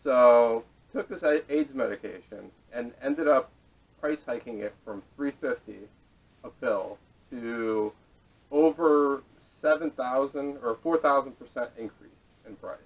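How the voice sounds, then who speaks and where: A man hosting a casual podcast discussion, speaking haltingly with restarts and casual swearing, picked up on a camera microphone. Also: mid-range voice. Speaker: podcast host introducing topics. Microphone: camera mic, away from speakers. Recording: distant camera microphone audio.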